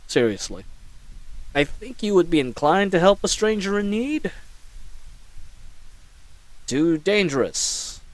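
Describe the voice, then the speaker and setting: Man acting a babbling, flustered knight, swooping pitch. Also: no room sound, rough recording. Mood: wary, indignant. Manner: rambling, nervously chatty, stammering. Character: babbling, flustered young male knight caught in a snare. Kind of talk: scripted solo audio roleplay acting.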